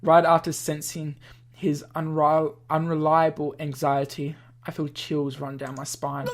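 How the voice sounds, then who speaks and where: A woman reading a visual novel aloud as a let's-play host, in an expressive narrating style. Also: deep voice, a little slow.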